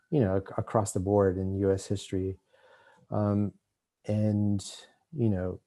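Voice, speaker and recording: A middle-aged man raised in Los Angeles giving an art lecture, halting with many restarts and fillers. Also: lowish voice, dark tone. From Mexico, raised in Los Angeles. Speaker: visiting artist lecturer.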